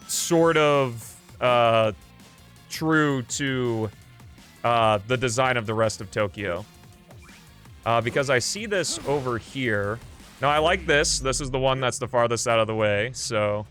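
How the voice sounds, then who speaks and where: A man streams a video game and talks through it casually. His voice sits at a middle pitch, and he rambles in an offhand way, thinking aloud. Faint game music plays behind him. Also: slow, long pauses, fairly bright tone. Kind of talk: casual live gameplay commentary.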